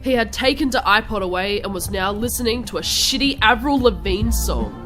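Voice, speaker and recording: A woman's voice: medium pitch, animated, fairly quick, fairly bright tone. Woman doing melodramatic goth teen girl narration, cartoon fanfic thing, music behind it. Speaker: narrator and lead voice. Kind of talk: dramatic comedic fan fiction narration.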